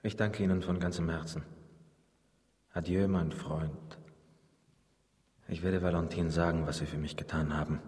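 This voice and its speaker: An actor playing an earnest, despairing young lover in a German radio drama, formal and full of feeling. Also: male voice, low-pitched, fairly even intonation, moderate pace, pauses often, a little mellow, slightly rough, no echo. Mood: grateful farewell.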